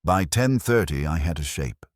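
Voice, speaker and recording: Very deep-voiced man narrating detective fiction. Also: lively intonation, normal speed, no room sound, studio quality. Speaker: audiobook narrator. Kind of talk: scripted first-person detective fiction narration.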